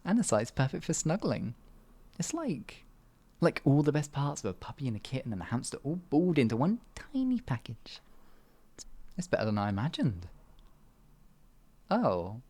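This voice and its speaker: A man voicing a sadistic male wizard in an ASMR fantasy roleplay, cruel yet doting, teasing and cooing with soft whispers. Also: mid-range voice, huge pitch swings, normal speed, pauses often. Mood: mocking. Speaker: voice actor.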